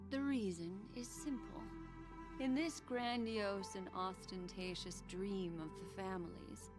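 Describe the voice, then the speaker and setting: An enigmatic game woman who speaks slowly and with poise over clear background music. She is coy and seductive, teasing her listener in elegant, theatrical phrases. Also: mid-range voice. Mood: wistful, admiring. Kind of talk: scripted video game character dialogue.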